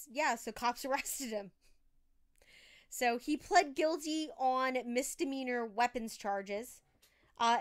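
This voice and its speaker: Female true crime host, unhurried, smooth clear voice, conversational. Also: fairly high pitch, a bit bright. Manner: informal, conversational, emphatic asides. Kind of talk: casual true crime storytelling.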